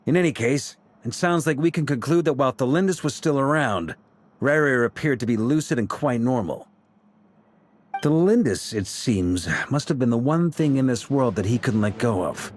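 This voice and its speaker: A man's voice: medium pitch, animated, moderate pace, a little hiss or hum, lightly acted. Man voicing a wry, probing council leader.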